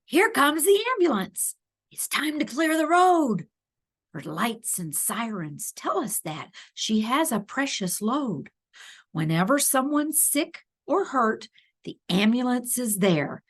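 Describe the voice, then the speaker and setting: A woman reads a picture book aloud for small children at an unhurried pace. Her pitch swings up and down in a lively way as she leans on key words. She adds playful asides to the child as she goes. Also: slightly rough. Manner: animated, deliberate emphasis with playful asides to the child. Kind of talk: expressive read-aloud for young children.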